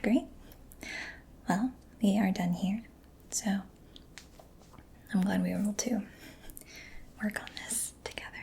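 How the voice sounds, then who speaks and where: Woman, breathy whisper, mellow tone, even pitch, caring ASMR roleplay, clicks and noise behind. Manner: gentle, reassuring, caring. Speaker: ASMR performer playing a mechanic. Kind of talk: soft-spoken ASMR mechanic roleplay. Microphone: close-up ASMR microphone.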